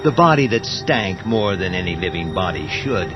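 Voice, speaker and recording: Loud, scary music runs beneath a slightly dull recording. A man with a deep voice narrates a horror radio play, phrasing it deliberately and dramatically, with an ominous delivery. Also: sing-song.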